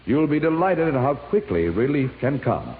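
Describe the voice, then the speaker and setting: Male commercial announcer, 1950s style. Emphatic, clearly articulated sales read, strongly modulated, narrow-band sound with light hiss. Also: medium pitch, big pitch swings. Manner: polished, emphatic, deliberate enunciation. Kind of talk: 1950s radio advertisement, scripted sales read. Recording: thin vintage radio with faint hiss.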